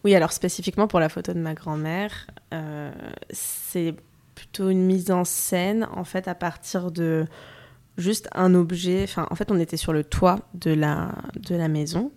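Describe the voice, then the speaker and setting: A woman's voice: lowish voice, steady tone, measured pace, darkish tone. A young woman from France, an artist talking through her photography in a casual interview, thinking aloud with fillers and restarts.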